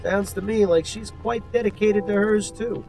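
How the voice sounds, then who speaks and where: A man's voice: high voice, normal speed. A man voicing a sarcastic partner who makes wry asides to the detective in a video game. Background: game music.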